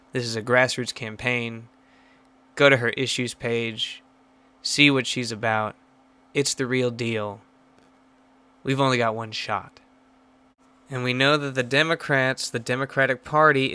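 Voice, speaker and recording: A man's voice: medium pitch, sharp tone, clean tone. A man giving urgent, blunt political commentary, pressing his points with emphatic repetition.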